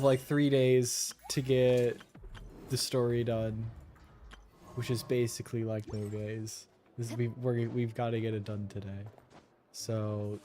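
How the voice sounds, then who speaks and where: A man's voice: mid-range voice, mellow, slight background noise. A man giving casual, rambling live commentary while streaming a game, muttering to himself.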